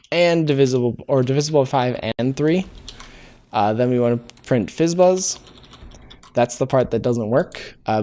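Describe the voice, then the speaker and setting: Guy coding and thinking out loud, lots of uhs, keeps second-guessing himself, normal mid voice. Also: mid-range voice. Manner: thinks aloud, hesitant, self-correcting with many "uh"s. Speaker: programming tutorial host. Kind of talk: casual live-coding walkthrough.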